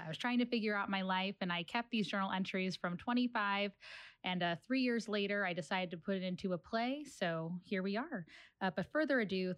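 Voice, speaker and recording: Young woman, American accent, mid-pitched, close dry sound, casual podcast host introduction. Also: no echo.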